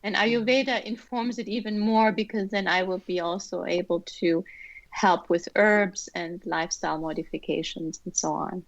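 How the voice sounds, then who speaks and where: A woman's voice: on the low side, steady tone, moderate pace, creaky, dry recording. A middle-aged woman from Austria, a guest answering interview questions thoughtfully with light laughs. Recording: dull lo-fi sound, dry.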